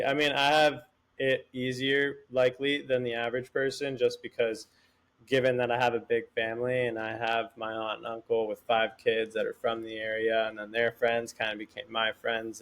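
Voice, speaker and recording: Flat-voiced man from Miami. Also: medium pitch, moderate pace, low-quality recording. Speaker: podcast guest. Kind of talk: casual podcast chat.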